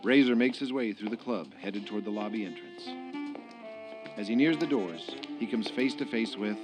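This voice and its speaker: Low voiced guy narrating a radio drama, dramatic read, clear music bed underneath. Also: expressive, moderate pace.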